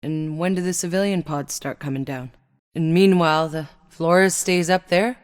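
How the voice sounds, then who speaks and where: A woman's voice: lowish voice, steady tone, moderate pace, clear, resonant tone, no echo, clean studio sound, lightly acted. An actress playing a tough, sardonic woman mercenary in a video game, speaking in a wry, blunt, colloquial way. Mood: teasing, casual. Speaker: video game protagonist voice actor. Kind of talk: scripted game dialogue.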